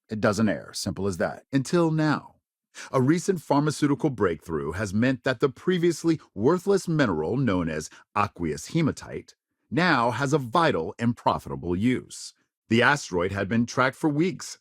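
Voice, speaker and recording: An actor playing a polished news anchor in a spacefaring future video game, with measured, emphatic, dramatic newsreader phrasing. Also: male voice, medium pitch, dry recording, clean studio sound. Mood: matter-of-fact. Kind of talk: scripted broadcast news delivery.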